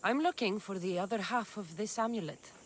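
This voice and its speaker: A woman's voice: medium pitch, brisk, a little hiss or hum, close to an everyday voice. A woman playing a determined mercenary warrior who speaks tersely and confidently in a video game.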